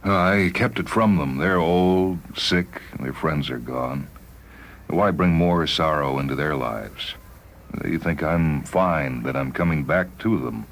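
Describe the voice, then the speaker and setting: Dying spaceman, low and earnest, hesitant dramatic radio-play delivery, slight noise. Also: slow pace, a bit bright, full character voice. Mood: wistful. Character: dying spaceman, wistful, earnest, reflecting on his life. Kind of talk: dramatized short story acting. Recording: noisy vintage radio drama recording.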